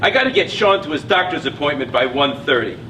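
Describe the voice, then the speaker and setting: Actor doing a stressed brusque boss guy, high animated voice, echoey stage recording. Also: fast talker. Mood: hurried. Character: brusque, stressed small-business boss, profane. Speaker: actor in a one-act play. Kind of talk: live stage play acting. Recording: echoey, distant live stage recording, faint noise.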